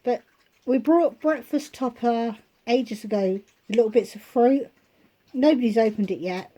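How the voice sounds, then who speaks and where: A woman vlogger at home, chatting casually through a shopping haul and listing prices, her voice going hoarse. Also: on the high side, mellow, poor audio. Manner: chatty, unhurried, itemizing prices.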